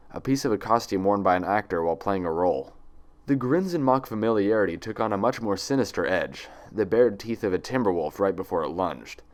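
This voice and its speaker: A man reading fan fiction aloud as a dramatic, scripted story narration. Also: on the low side.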